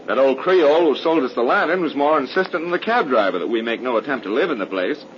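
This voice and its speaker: A muffled, hissy 1930s radio recording carries an actor playing a sceptical young man in a horror play. His theatrical, commanding delivery swings widely in pitch, with every line pushed for emphasis. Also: animated, fast, close to an everyday voice. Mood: weary, dismissive.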